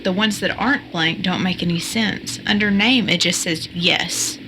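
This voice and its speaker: A woman's voice: lowish voice, normal speed, noisy background, close to an everyday voice. A woman playing a curious, tech-savvy young computer sleuth in an audio drama, explaining things conversationally. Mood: focused, explanatory.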